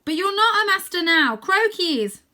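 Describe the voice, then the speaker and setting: A frightened young child from an adventure storybook, voiced by a woman reader, crying out in a high, bright voice. The delivery is urgent and breathless, pushed up loud with alarm. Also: very animated, normal speed, heavily acted. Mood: anxious, disbelieving.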